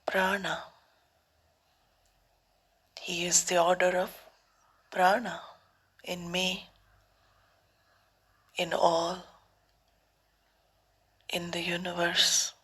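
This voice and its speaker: Very slow, breathy woman guiding meditation. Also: on the low side. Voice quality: soft, breathy, often near a whisper. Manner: calm, deliberate, long pauses between short phrases. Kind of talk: guided meditation.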